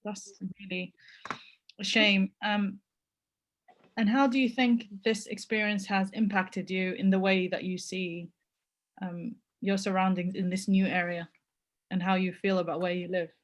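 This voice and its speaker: Measured woman moderating a webinar. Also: mid-range voice, little pitch movement. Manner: measured, pausing often. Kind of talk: hosting an online panel. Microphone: video call.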